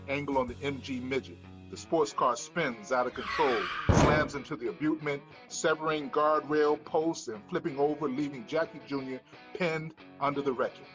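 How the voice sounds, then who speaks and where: A man's voice: medium pitch, normal speed, a bit bright, faint music behind. A man narrating the stage directions of a screenplay at a table read.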